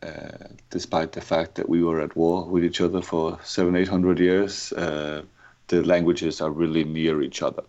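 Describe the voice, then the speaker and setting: Deep-voiced Danish man, muffled audio. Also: flat delivery, moderate pace, a little mellow. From Denmark. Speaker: podcast guest. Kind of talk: casual conversation.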